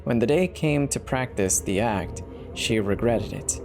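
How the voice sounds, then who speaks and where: A man's voice: medium pitch, lively intonation, unhurried. A man narrating a horror story as a dramatic scripted reading. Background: background music.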